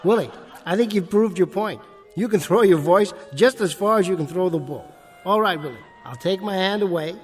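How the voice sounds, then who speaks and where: An actor playing an edgy, weary and defensive nightclub ventriloquist in a radio play. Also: male voice, high voice, expressive, moderate pace, soft background music. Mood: stage banter.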